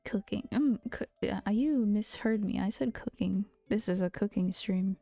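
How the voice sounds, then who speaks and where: Soft-spoken, whispery woman streamer. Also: medium pitch, measured pace, vocal fry, faint music behind. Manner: soft, gentle, often half-whispered; giggly, playful teasing. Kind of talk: casual chat stream. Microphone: close to a regular mic.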